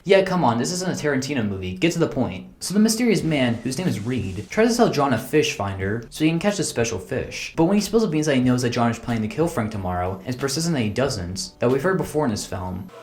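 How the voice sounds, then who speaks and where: A man's voice: mid-range voice, very animated, quick pace, few pauses, a little mellow, soft background music. A man giving scripted, humorous film review commentary in a sarcastic, incredulous way. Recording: roomy, echoey voice-over.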